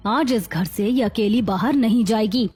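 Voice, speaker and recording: A woman playing a proud, protective elder sister-in-law who scolds emphatically in a melodramatic animated family story. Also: on the high side, normal speed, husky, soft background music. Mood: indignant.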